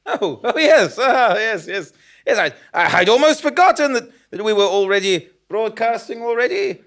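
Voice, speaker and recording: Excitable blundering journalist, bright piercing voice, swooping intonation, stammering, audio drama. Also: male voice, sits high, moderate pace, full character voice. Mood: flustered. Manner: stammering, flustered. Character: excitable, blundering male investigative journalist, easily flustered. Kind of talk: audio drama acting with narration.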